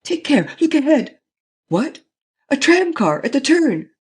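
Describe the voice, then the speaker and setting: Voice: mid-range voice, very animated, moderate pace, dark tone, slightly rough. A reader voicing a frightened young male chauffeur, pleading frantically in an audiobook. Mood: panicky. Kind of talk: audiobook reading.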